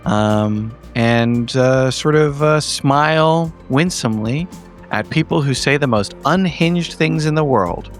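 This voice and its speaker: A man's voice: medium pitch, slow pace. A man recapping a tabletop game in a casual, comedic way, with a droll, wordy delivery. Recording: music under the voice.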